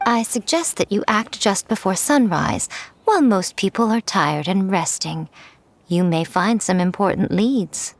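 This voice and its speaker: A woman's voice: medium pitch, expressive, a little slow. A woman playing a poised, sly, playfully teasing adult mentor in a video game, with a knowing delivery. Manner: playful, smooth-talking, knowing. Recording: music behind. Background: game music.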